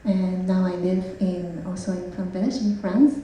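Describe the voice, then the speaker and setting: Japanese-accented nun in an echoey hall. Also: female voice, on the low side, normal speed, dark tone, distant mic. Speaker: Buddhist nun. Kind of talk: self-introduction to an audience. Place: large room at an evening event.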